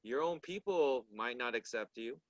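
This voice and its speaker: A man's voice: medium pitch, expressive, dry recording, dull audio. A man whose first language is Korean, speaking thoughtfully in a casual discussion and often restarting his phrases. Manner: measured, thoughtful, frequent restarts.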